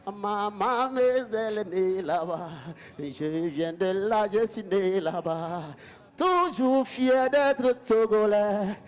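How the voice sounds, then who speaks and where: A man giving a spoken-word performance with rhythmic, chanted repetition. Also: sits high.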